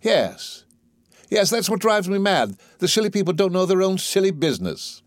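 Man voicing arrogant professor, imperious, condescending, impatient, widely swinging pitch, lifted tone, stage play, dry close sound. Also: big pitch swings, normal speed, no room sound. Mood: smug, relieved. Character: arrogant, blustering male professor, lofty and impatient.

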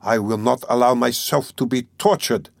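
A man's voice: mid-range voice, normal speed, gravelly, dry recording, clean studio sound, lightly acted. A man voicing a haughty, tormented young suspect in an audiobook, imperious and defiant. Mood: seething. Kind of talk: dramatic audiobook character reading.

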